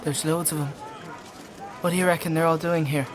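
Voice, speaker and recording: An actor playing an informal, good-natured, grumbling squire who flusters easily, in a dark fantasy audio drama. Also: male voice, on the high side, steady tone, brisk, a crowd in the background, lightly acted. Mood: curious. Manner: informal, teasing, complaining.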